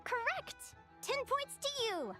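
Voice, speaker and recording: Casting: young game-world lecturer, female, high lively voice, perky and instructive. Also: slow, full character voice. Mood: cheerful, instructive. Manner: teacherly, enthusiastic. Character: perky young female adventuring expert teaching beginners. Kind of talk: video game character acting. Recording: game music behind the voice.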